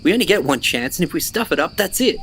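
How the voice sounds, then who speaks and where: A man's voice: on the high side, very animated, fast talker, creaky, fairly bright tone, a little hiss or hum, heavily acted. A man playing a blunt, exasperated survivor. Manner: blunt, argumentative. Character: Marcus, blunt pragmatic male survivor, exasperated but caring. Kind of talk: naturalistic audio drama dialogue.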